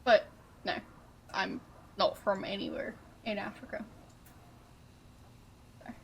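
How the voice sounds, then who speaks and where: A woman streamer chatting casually with her viewers, relaxed and amused as she answers their guesses. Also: medium pitch, takes it slowly, vocal fry, slight background noise, poor audio.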